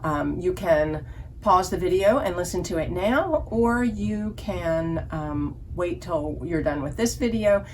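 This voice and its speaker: Woman, story-time librarian for young kids. Unhurried, lowish voice, plain questions and instructions, some background noise. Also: lively intonation, a little mellow. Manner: simple instructions and questions addressed to young children. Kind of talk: children's online lesson with songs.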